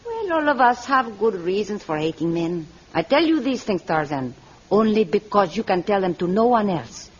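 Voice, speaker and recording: A woman playing a confiding jailer of a hidden city in a vintage radio adventure, husky and expressive. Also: medium pitch, normal speed. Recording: dull vintage radio recording.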